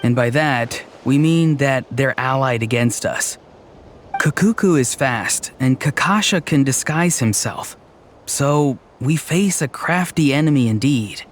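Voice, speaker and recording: An actor playing a teasing, mischievous young man in a video game, delivering lines with deadpan, mock-grand seriousness. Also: mid-range voice, a little slow, a little hiss or hum, lightly acted. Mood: mock-serious. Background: faint game background music.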